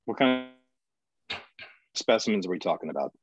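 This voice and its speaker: A man playing a gruff, suspicious, foul-mouthed boss of a space colony in a sci-fi table read. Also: fairly low pitch, lively intonation, normal speed, natural voice. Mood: wary, probing. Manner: confrontational, demanding, profane.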